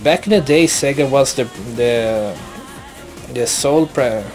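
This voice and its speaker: Young Brazilian man, Brazilian Portuguese accent, halting and earnest, casual home-made vlog. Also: mid-range voice, darkish tone, soft background music. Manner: halting, many restarts and self-corrections, earnest. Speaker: YouTuber.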